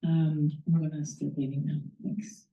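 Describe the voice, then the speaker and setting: A woman's voice: low voice, unhurried. A woman from Mexico whose first language is Spanish, reading one of her short stories to an audience after a hesitant introduction. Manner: hesitant with many filler words, then steady reading. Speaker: author reading her story. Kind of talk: reading a short story aloud. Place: bookstore or small venue reading. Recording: distant mic, echoey room, dull sound.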